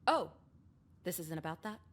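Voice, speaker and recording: An actress playing a vain, evasive high school principal in a visual novel game, breezy and deadpan. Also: female voice, normal speed. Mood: flustered.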